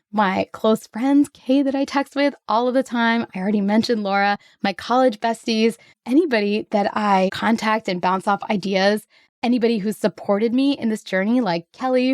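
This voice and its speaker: A woman hosting her own podcast solo, slightly hoarse, talking in an upbeat, grateful, chatty way. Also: mid-range voice, darkish tone, dry recording, studio quality. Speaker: podcast host.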